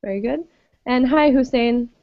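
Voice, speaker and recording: A woman teaches English to an online class, patient and friendly, filling her pauses with ums. Her voice sits a little high. The sound is dry and dull, like a web call. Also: dry recording.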